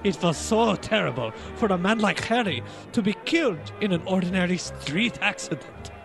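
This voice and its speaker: A man's voice: high-pitched, animated, normal speed, creaky, music in the background. An actor playing an evasive friend of a dead man in a radio drama, speaking dramatically and emphatically. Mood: distressed, defensive. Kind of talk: old-time radio drama acting.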